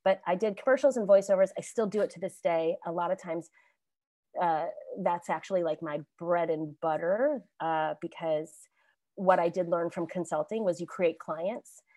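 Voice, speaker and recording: A woman's voice: lowish voice, normal speed, no room sound. Chatty woman on a dry video call. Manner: chatty, animated, laughs often, many restarts. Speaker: alumni panelist, actor. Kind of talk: casual storytelling on a video call panel.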